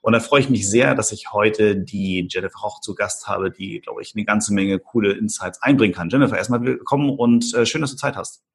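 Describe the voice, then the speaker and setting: Man, podcast host, low voice, husky, filler-heavy, enthusiastic. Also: a little fast, few pauses. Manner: hesitant, many fillers, enthusiastic reactions. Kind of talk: interview hosting.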